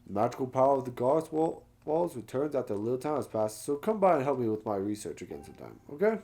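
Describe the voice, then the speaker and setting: A man voicing an excitable, chatty Goron researcher from a video game, full of exclamations and buddy talk. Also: mid-range voice, fast. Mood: excited, grateful. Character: excitable, chummy Goron researcher of ancient ruins.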